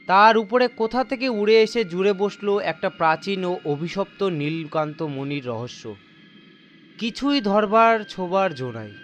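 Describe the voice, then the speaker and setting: High-pitched man narrating suspense. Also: fairly bright tone, a little hiss or hum. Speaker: story narrator. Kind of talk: dramatic scripted horror and mystery narration. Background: faint music.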